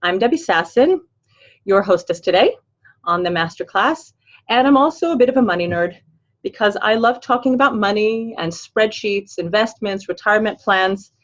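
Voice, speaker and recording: A woman's voice: mid-range voice, lively intonation, moderate pace. Older american woman, financial planner hosting a webinar, chatty and upbeat, slightly muffled audio. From Los Angeles, USA. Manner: chatty and upbeat, with "um" and "you know" fillers. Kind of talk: webinar presentation.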